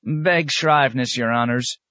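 A man's voice: medium pitch, a little slow, pushed and bright, no room sound, pitched above the performer's own voice. A man doing a drawling old tomato woman. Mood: wheedling. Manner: drawling, rustic old-fashioned speech.

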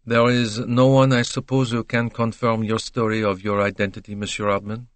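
A man's voice: fairly low pitch, little pitch movement, normal speed, no room sound, close to an everyday voice. A man plays a courteous detective in a storybook mystery. He is composed and probing, with formal, dry questions in a very dry-sounding recording. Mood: polite, probing.

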